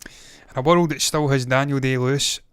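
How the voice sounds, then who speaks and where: A man's voice: mid-range voice, fairly even intonation, normal speed, fairly bright tone, clear, resonant tone, dry recording. A man in his thirties hosting a movie news show, talking casually, with rambling opinionated asides. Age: 30s.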